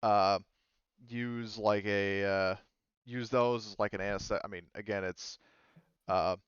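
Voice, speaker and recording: Smooth-voiced young man running a game. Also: lowish voice, fairly even intonation, unhurried, dry recording, lo-fi sound. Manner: rambling, hedging with many fillers. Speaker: game master. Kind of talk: running a tabletop game online.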